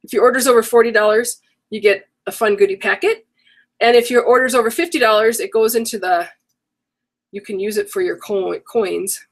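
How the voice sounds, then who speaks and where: A woman's voice: fairly high pitch, fairly even intonation, a little fast. A middle-aged woman hosting an online craft workshop, rambling and correcting herself as she goes, her voice worn from a coming cold. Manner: chatty, rambling, self-correcting. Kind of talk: casual live-stream chat.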